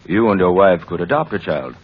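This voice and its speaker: An actor playing a frank family doctor giving advice in a deliberate, measured way, old-time radio drama style. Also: male voice, low voice, animated, slightly rough. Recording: dull vintage radio recording.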